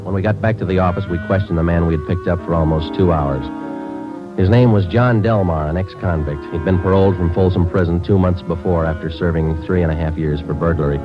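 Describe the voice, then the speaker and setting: A male actor plays a dogged American police sergeant in a 1940s radio crime drama. The voice is deep and moves quickly, clipped and deadpan, whether narrating or questioning. The old recording is muffled, with music behind. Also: close to an everyday voice.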